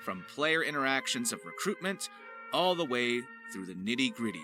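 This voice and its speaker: Play a showy male narrator presenting his voice work, lively pitch swings, grand turns of phrase. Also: normal speed, over music. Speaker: voice actor and video host. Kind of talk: scripted video narration with playful showmanship.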